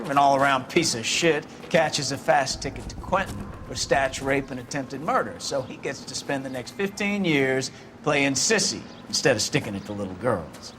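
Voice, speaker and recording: A gruff, sardonic police captain. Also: male voice, fairly high pitch, noisy background. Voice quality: blunt, sardonic.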